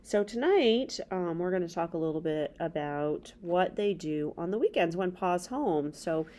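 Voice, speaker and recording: A woman's voice: on the low side, expressive, measured pace, mellow. A middle-aged woman hosting a children's read-aloud, reading a story and adding casual asides. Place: small indoor room with bookshelves.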